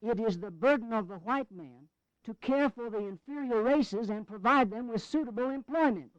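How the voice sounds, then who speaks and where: An actor playing a haughty, indignant Southern delegate in a historical audio drama, speaking in pompous oratory, on a slightly dull recording. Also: male voice, extremely high pitch, normal speed, dry recording. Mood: haughty, defiant.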